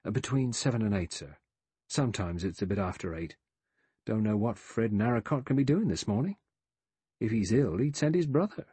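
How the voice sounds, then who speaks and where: A man's voice: fairly low pitch, animated, normal speed, dark tone, husky, no echo. Man acting a deferential, apologetic butler. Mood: restrained, deferential. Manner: servile, apologetic. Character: deferential male butler, restrained but easily rattled.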